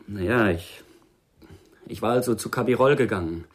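A man's voice: fairly low pitch, moderate pace, breathy edge. Listless, hesitant young man. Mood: guarded, listless. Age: young adult. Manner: hesitant, defensive. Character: reluctant, listless, guarded young male witness. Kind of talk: German radio crime drama acting.

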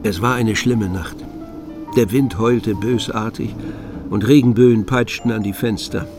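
A man narrating a radio drama from a script, with measured, literary storytelling. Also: fairly low pitch, steady tone. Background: music.

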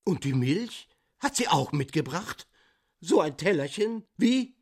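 High-voiced, brusque medical professor. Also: male voice, big pitch swings, heavily acted. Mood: flustered, brusque. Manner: deliberate, questioning, brusque. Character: puzzled, brusque male medical professor, mustached and authoritative. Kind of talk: German radio play acting.

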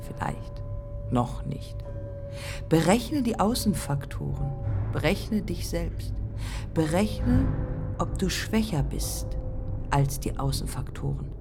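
Radio drama: bitter dead aviatrix, low and creaky, incantatory, fragmentary delivery. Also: female voice, sing-song, over music, full character voice. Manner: deliberate, fragmentary, incantatory phrasing with repeated lines. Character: dead pioneering German woman pilot, bitter, haunted, grave. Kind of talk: poetic radio play monologue.

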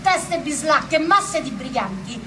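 A performer playing an excitable, defiant republican rebel with animated theatrical delivery. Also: sits high, slow, background noise, exaggerated voice.

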